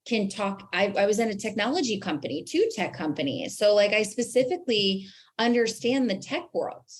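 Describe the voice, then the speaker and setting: A woman's voice: mid-range voice, expressive, moderate pace. An American woman speaking as an interview guest and branding coach, explaining emphatically and posing rhetorical questions.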